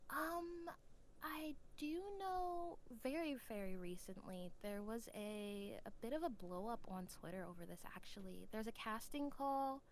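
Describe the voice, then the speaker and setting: A woman's voice: fairly high pitch, unhurried, a little hiss or hum. A woman chatting casually as an interview guest, earnest and reflective in how she talks. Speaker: podcast guest, new voice actor.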